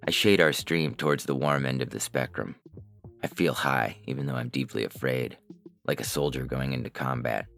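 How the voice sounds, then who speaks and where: A man's voice: extremely low pitch, a bit bright, clear, resonant tone. A man narrating a scripted first-person science fiction story as its reader. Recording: music bed under the voice. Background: music.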